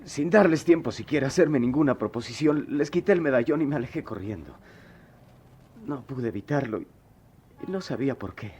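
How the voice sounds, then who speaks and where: A man's voice: medium pitch, lively intonation, warm tone, slight background noise, close to an everyday voice. An actor playing a troubled, proud, streetwise poor boy in a radio drama, with a Mexican accent and slangy, impassioned delivery. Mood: anguished, ashamed. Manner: slangy, impassioned, halting when cornered.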